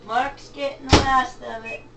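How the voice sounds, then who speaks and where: A woman's voice: sits high, flat delivery, a little slow, very noisy. A middle-aged woman, talking casually and bluntly in a rambling, aggrieved way to camera at home. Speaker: home vlogger. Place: rooms of a home, indoors.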